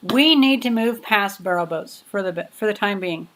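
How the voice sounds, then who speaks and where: A young woman in her twenties hosts a relaxed livestream from her home workshop. Her talk wanders from topic to topic, loose and chatty, full of little fillers. Her pitch sits right in the middle of the range. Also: moderate pace. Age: 20s. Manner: rambling, chatty, many fillers. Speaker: livestream host. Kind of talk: casual livestream chat.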